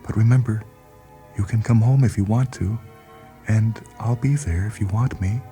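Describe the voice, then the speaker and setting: A man voicing a wounded, pleading wife who holds herself steady, soft and imploring, in a radio story reading. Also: fairly low pitch, moderate pace, faint music behind, pitched above the performer's own voice, lightly acted. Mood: hurt, pleading.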